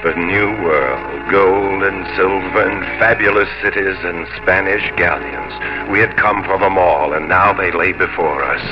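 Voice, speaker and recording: A resolute sea captain of Elizabethan England, low and stately. He is grave and bent on revenge, in the old radio drama manner. The broadcast sounds muffled, with music playing behind. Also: a little slow, hardly any pauses. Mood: stately narrating. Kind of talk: 1950s radio drama acting, first-person narration.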